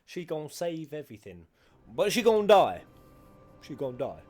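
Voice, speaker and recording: Lively man reacting to a game. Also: a little high, unhurried. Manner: excitable, slangy, sweary. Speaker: streamer reacting to game cutscenes. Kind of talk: casual reaction commentary.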